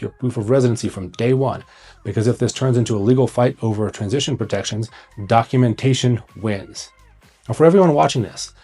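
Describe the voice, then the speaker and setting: A man with a mellow, slightly husky voice delivers scripted advice as the host of an online video. He is blunt, urgent and emphatic, landing short punchy phrases. Faint music plays behind him. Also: mid-range voice. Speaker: host, immigration consultant.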